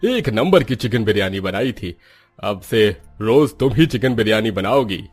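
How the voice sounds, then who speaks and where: An elderly, food-loving father-in-law from a cartoon family tale, played by a man. His husky voice is low and hearty, with music in the background. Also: lively intonation, moderate pace. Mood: delighted praise.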